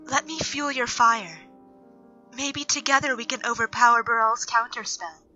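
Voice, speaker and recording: A woman playing an earnest, gentle elf nature mage with a measured, serious delivery in a fantasy audio drama. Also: fairly high pitch, dull audio, faint music behind. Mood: focused, urgent.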